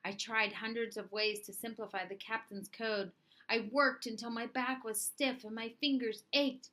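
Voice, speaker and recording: A woman reading a children's story aloud in an expressive narrating style. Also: on the high side, moderate pace. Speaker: storybook reader.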